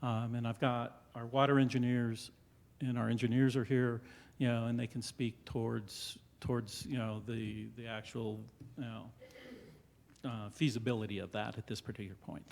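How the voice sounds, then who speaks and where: A man representing a developer, presenting to a town board in a council chamber, loud and full of fillers. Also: mid-range voice, flat delivery, normal speed, vocal fry, a little hiss or hum. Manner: loud and hesitant, many fillers.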